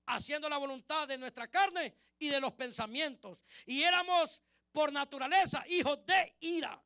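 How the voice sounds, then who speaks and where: A pastor from Honduras preaching with a high, bright voice that cuts through. He stresses and repeats key phrases, and the sound is dry and close. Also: moderate pace, no echo. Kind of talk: preaching sermon in Spanish.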